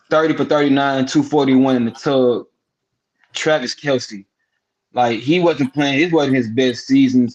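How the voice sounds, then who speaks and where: A man's voice: mid-range voice, muffled recording. A man co-hosting a casual sports podcast, breaking games down in a profane, emphatic style and repeating words for effect. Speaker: podcast co-host.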